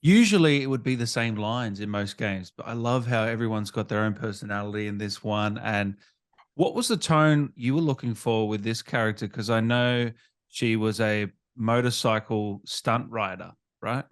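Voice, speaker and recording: A man's voice: on the low side, moderate pace. A man hosting a gaming interview, asking questions in a casual, conversational way. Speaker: interviewer, gaming channel host.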